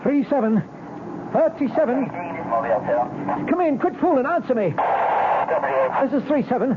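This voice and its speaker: An actor playing a working-class cab driver, plain-spoken, insistent and well-meaning, in an old radio drama. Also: male voice, extremely high pitch, expressive, measured pace. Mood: urgent. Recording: noisy, narrow-band old radio broadcast.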